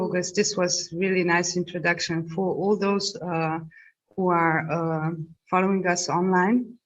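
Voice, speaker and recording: Slow, hesitant woman moderating a video call. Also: lowish voice. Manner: slow, hesitant, many fillers. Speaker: panel moderator. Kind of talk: moderating an online panel. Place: home, dark room. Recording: dull video call audio.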